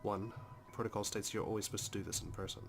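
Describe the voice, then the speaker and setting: A man's voice: lowish voice, flat delivery, fast talker, creaky. A man hosting a let's play, reading visual novel narration aloud dramatically with casual, emotionally involved comments. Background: game music.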